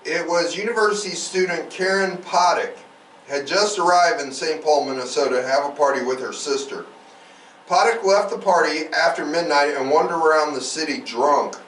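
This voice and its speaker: A man's voice: a little high, normal speed, a bit bright. A man hosting a true crime podcast, reading out case notes with irreverent, sweary, casual asides. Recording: roomy, distant mic, faint background noise.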